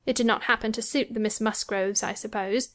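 High-voiced young wife, self-pitying and self-important, forever complaining, period novel dramatisation female actor performing. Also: steady tone, brisk, fairly bright tone, close to an everyday voice. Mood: aggrieved, complaining. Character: self-pitying, querulous young married gentlewoman, fancying herself ill. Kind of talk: dramatised classic novel reading.

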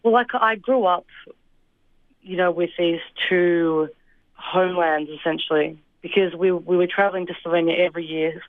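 A young woman from Australia answering interview questions in a thoughtful, hesitant way, with fillers and soft laughs. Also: fairly low pitch, fairly even intonation, moderate pace, no echo. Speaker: interview guest. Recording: dry, phone-like line.

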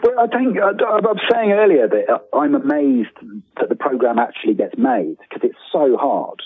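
A man's voice: on the high side, big pitch swings, normal speed, breathy edge, dry recording. Man on a thin, phone-like line. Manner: hesitant, repeats phrase starts, enthusiastic. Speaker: interviewed guest, author. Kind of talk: casual interview, anecdotes.

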